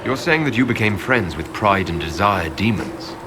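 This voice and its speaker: Very deep-voiced, guarded mage hero played by a man. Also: animated, normal speed, close to an everyday voice. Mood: guarded, questioning. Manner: direct, questioning. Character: guarded, probing male mage hero questioning a companion. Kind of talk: video game dialogue. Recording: clear ambient noise behind game dialogue.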